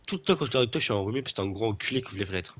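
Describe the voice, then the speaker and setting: A young man with a stuffy, blocked nose talks in a lowish voice over gameplay. He rambles, restarts sentences and fills gaps with hesitations while teasing his friend. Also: expressive, racing, a bit bright, slight background noise. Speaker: gaming video creator. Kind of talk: casual gameplay chat with a friend.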